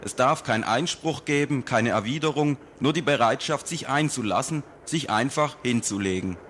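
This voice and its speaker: A man reading a political essay aloud in a slow, deliberate, polemical manner. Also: mid-range voice, a bit bright, slight background noise.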